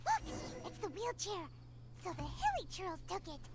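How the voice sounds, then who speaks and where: A woman's voice: extremely high pitch, huge pitch swings, moderate pace, very noisy, exaggerated voice. A woman voicing a tiny, eager female companion in a game, speaking in a bubbly, chirpy way. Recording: loud game music behind.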